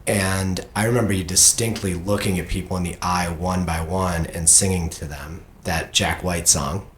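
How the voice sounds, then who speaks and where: A man's voice: low voice, steady tone, moderate pace. Middle-aged man in an echoey room. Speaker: podcast host and parent interviewing their child. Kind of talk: casual conversational interview. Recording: roomy echo, faint background noise.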